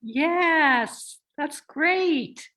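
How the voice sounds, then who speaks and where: A woman co-presenting a children's read-aloud, thinking aloud and asking expressive questions. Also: high-pitched, drawn-out words. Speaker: reading teacher, co-presenter. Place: online video session.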